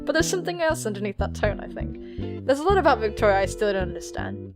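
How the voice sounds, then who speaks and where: A woman's voice: medium pitch, wildly swooping pitch, fast, over music. A woman voicing an earnest, nervous student girl who narrates her thoughts in a visual novel game. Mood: awed.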